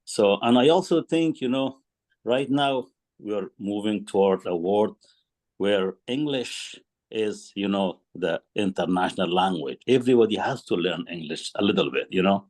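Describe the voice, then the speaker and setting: A man whose first language is Farsi speaks up during a study session. His voice is somewhat low, and his delivery is earnest but halting. He leans heavily on ums and "you know" as he works through his point. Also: a little mellow. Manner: earnest, hesitant, full of ums and you-knows. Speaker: participant commenting in a study session.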